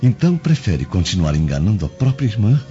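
Deep, sly Roman guard. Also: male voice, sing-song, brisk, mellow. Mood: mocking, provoking. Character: scheming male Roman guard, sly servant of the governor. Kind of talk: radio drama acting. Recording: dull lo-fi audio with music behind.

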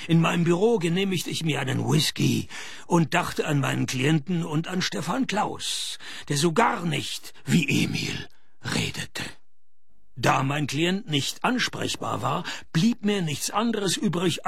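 An actor in a radio play playing a laconic, wisecracking private detective. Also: male voice, on the high side, vocal fry, slightly rough, dry recording, studio quality, heavily acted. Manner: deadpan, laconic. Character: dry, wisecracking private detective narrating his case. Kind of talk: radio play narration and dialogue.

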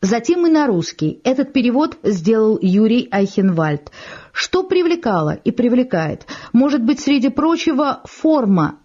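Mellow-voiced woman radio theatre announcer. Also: a little high. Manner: measured, articulate, explanatory. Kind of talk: scripted introduction to a radio play. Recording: muffled archival radio recording.

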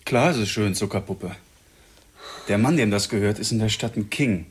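An actor playing a cocky, crude, sweet-talking outlaw killer with a rough voice in a German radio drama. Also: male voice, lowish voice, normal speed. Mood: smug, teasing.

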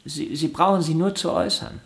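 An actor in a German radio play voicing a gentle, earnest violin virtuoso who is eagerly polite and hesitant, often repeating his words. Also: a little high, steady tone, fairly quick, natural voice. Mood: obliging, puzzled.

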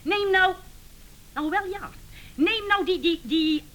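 An actress playing a mocking, long-suffering wife in a radio comedy, drawling and scornful. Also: female voice, very high-pitched, slow pace, fairly bright tone, exaggerated voice. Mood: scornful, complaining. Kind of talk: radio comedy drama acting.

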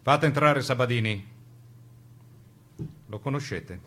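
An actor playing a menacing, coldly polite fascist interrogator in a radio drama, with a condescending manner. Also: male voice, medium pitch, slow, a bit bright, slight background noise. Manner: condescending, insinuating. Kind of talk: stage radio drama dialogue.

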